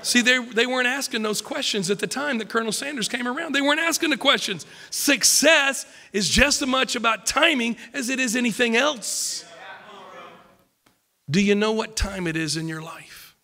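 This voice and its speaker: A middle-aged man preaching at a pulpit, emphatic and joking, a little croaky from a cold. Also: extremely high pitch, moderate pace, bright, forceful tone, big-room sound. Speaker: pastor. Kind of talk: sermon. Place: church stage at a wooden pulpit. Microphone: podium mic.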